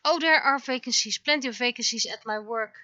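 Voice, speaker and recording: A woman's voice: on the high side, brisk, sharp tone, dull audio. A woman chatting casually on a livestream while she works on her art, rambling and thinking aloud. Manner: rambling, thinking aloud, acts out remembered exchanges. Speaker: livestreamer, webcomic artist.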